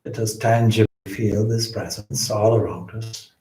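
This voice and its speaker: A man leading a guided meditation as a swami, with measured, solemn phrasing and frequent pauses. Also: fairly low pitch, steady tone, warm tone. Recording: roomy, echoing indoor sound.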